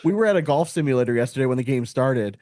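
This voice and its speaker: 30s guy hosting a sports podcast, talks fast and slangy, swears, real dry close sound. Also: medium pitch, lively intonation, dark tone, dry recording. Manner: profane, slangy, filler-heavy "like" and "you know what I mean". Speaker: podcast host. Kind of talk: casual sports banter.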